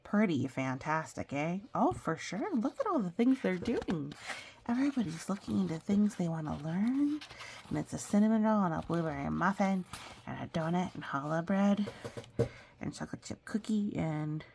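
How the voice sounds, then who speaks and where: A woman's voice: on the low side, very animated, moderate pace, vocal fry, a little mellow, slight background noise. A woman reading a children's picture book aloud, playful and full of exclamations and chatty asides.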